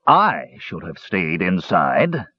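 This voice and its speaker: A man doing a gruff, grumbling old dwarf. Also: lowish voice, big pitch swings, moderate pace, dull audio. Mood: furious. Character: grumpy old male dwarf, gruff and quick to curse.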